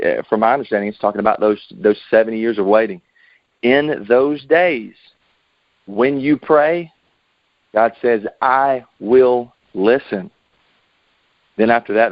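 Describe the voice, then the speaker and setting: An American man speaks in a medium-pitched voice, delivering an informal devotional. His manner is earnest and encouraging, conversational rather than formal. The sound is muffled and dry, as on a lo-fi conference call. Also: no room sound. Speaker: manager giving a devotional on a team conference call. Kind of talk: informal sermon, scripture exposition. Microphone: conference call line. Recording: dull lo-fi conference call audio.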